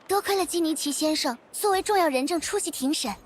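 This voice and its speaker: A woman's voice: high voice, fast talker, a little hiss or hum, natural voice. A woman playing a young, eager and polite newspaper reporter in a video game, chatty and bubbly. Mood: explaining.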